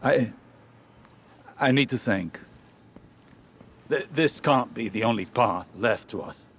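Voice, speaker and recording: A man's voice: mid-range voice, animated, slow, fairly bright tone, slight background noise. A man voicing a proud, protective and troubled scholar-warrior in a video game, earnest and formal in his weighty delivery. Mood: upset, pleading. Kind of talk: video game character acting.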